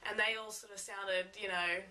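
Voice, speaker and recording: Bright-voiced New Zealand woman. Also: mid-range voice, fairly even intonation, moderate pace. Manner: candid, swears casually. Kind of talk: casual interview talk.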